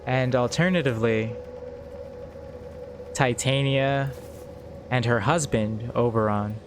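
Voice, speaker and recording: Slow, unhurried male game master. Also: mid-range voice, over music. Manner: reads out lore in halting phrases. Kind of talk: narrating a tabletop game over a call.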